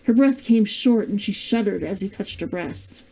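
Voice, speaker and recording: A woman reading her own novel aloud in an expressive storytelling style. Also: medium pitch, normal speed, creaky, husky, low-quality recording. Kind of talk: expressive audiobook-style narration.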